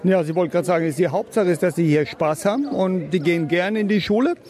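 A man's voice: high voice, fast, a little mellow, soft background music. A man, a parent being interviewed, who answers thoughtfully and sometimes restarts his sentences.

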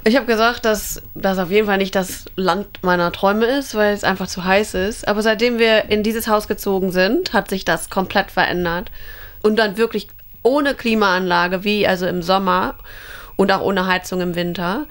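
A woman's voice: mid-range voice, fairly even intonation, normal speed. A woman in her thirties from Germany, telling a story in a casual interview. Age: 30s. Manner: chatty storytelling. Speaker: interviewee, homeowner.

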